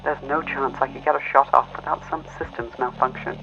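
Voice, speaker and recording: A man's voice: medium pitch, steady tone, brisk, vocal fry, bright, forceful tone, voice pushed up. A man voicing a weary, frightened female mech pilot in an audio drama, strained and pleading over a radio. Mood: wary pleading. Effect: radio filter. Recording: muffled cockpit radio with music.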